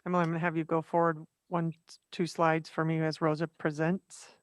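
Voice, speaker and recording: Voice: mid-range voice, completely flat delivery, a little fast, no room sound, clean studio sound. A presenter introducing guests at an online meeting in a matter-of-fact way. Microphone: video call.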